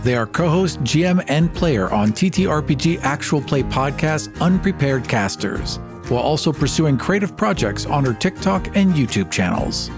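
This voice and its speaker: A man's voice: fairly high pitch, lively intonation, normal speed, music in the background. A man hosting a podcast, moving between announcing and conversational talk. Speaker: podcast host.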